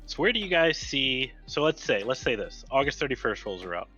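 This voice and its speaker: A man with a bright, forceful voice comes through slightly muffled, lo-fi audio. He chats casually as a panelist putting questions, halting and doubling back on words, with faint music underneath. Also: medium pitch, very animated, breathy edge. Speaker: gaming show panelist asking questions. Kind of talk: casual chat.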